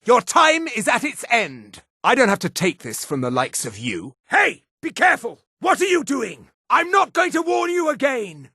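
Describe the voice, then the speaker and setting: Raspy, haughty high elf mage. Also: male voice, big pitch swings, brisk, few pauses, bright, forceful tone, no echo, clean studio sound, full character voice. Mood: threatening anger. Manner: imperious, disdainful, theatrical. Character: haughty high elf mage, arrogant adult male supremacist. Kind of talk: video game character voice lines.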